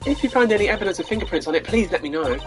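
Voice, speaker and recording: Woman putting on an excitable high-voiced young female detective. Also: rapid-fire, faint music behind, lightly acted. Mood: eager. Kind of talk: video game character read-aloud.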